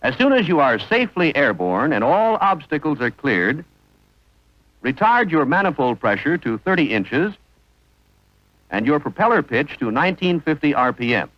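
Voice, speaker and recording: A man's voice: on the high side, sing-song, normal speed, no echo, lo-fi sound. A man narrating an instructional training film in a formal, precise manner on a vintage soundtrack.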